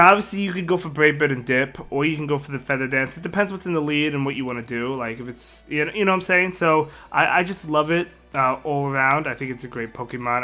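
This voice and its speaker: A sick man with a hoarse voice hosting a casual game strategy video, rambling with lots of fillers and repeated words. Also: on the high side, bright, forceful tone. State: sick, partly lost voice. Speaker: gaming channel host.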